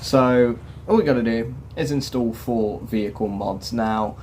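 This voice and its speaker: A man's voice: mid-range voice, a little slow. A man presenting a video game walkthrough, explaining things step by step to viewers in a chatty way. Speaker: gaming YouTuber presenting a quest guide. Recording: game sound clearly behind voice. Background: video game ambience and vehicle noise.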